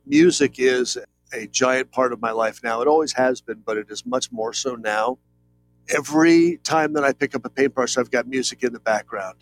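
A man's voice: medium pitch, moderate pace, a little hiss or hum, poor audio. A man in his late fifties, a painter giving an interview, talking in an earnest, rambling way with many fillers. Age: 50s. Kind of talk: reflective conversational interview.

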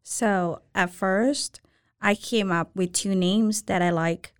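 Thai lady restaurant owner chatting, halting simple english, middle pitch. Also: fairly even intonation, clean tone. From Thailand. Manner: halting, simple phrasing, friendly. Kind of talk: casual interview.

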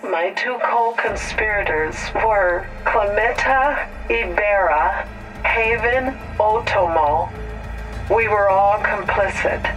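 An actress playing a remorseful psychologist in an audio drama, giving a halting, distraught confession heard as a played-back recording. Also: female voice, mid-range voice, fairly bright tone, soft background music. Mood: grave confession. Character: remorseful female psychologist confessing under duress. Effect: played back through a recorder. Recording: distant, filtered voice recording playback.

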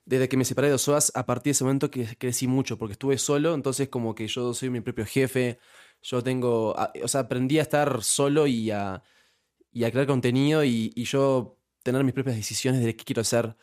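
A man's voice: medium pitch, steady tone, fairly quick, darkish tone. A young man from Uruguay with a Uruguayan accent, a guest in a casual podcast interview who talks with many restarts and fillers. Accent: Uruguayan Spanish. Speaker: youtuber guest.

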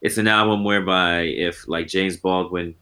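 Deep-voiced laid-back man in his forties. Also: steady tone, normal speed, pushed and bright. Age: 40s. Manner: laid-back, reflective, circling back on thoughts. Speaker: guest musician. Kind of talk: casual interview talk.

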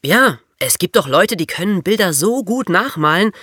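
A man's voice: high voice, big pitch swings, normal speed, sharp tone, dry recording, studio quality. A man co-hosting a podcast, chatting casually with the others. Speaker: podcast co-host. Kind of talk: casual chat.

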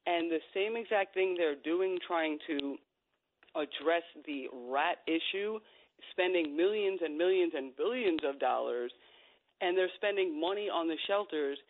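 Co-host on a tinny phone line. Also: female voice, on the low side, a little slow, slight background noise. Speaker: radio show co-host. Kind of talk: conversational talk radio commentary.

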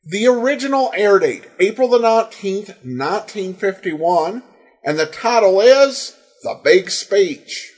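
High-voiced man hosting a podcast. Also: unhurried. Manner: relaxed, chatty, many fillers. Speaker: podcast host. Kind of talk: casual podcast introduction.

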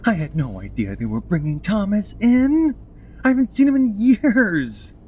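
A man's voice: high-pitched, sing-song, normal speed, a little mellow, slightly rough, exaggerated voice. A man playing an anxious, earnest boyfriend placating his partner in a comedic audio drama. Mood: pleading.

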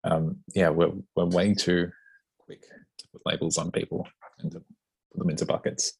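A man hosting a casual interview, chatty and relaxed, with lots of fillers. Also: low voice, normal speed, vocal fry, breathy edge, rough recording. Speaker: podcast host interviewing an author.

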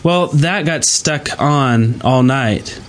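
A man's voice: mid-range voice, slow pace, background noise. A man hosting a podcast, talking in a casual, conversational way about gaming. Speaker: podcast host.

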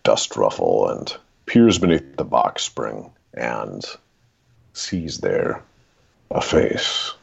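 A man with a very deep, gravelly voice speaking as a guest author, discussing stories in a deliberate, dramatic storyteller's way. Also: big pitch swings, lo-fi sound.

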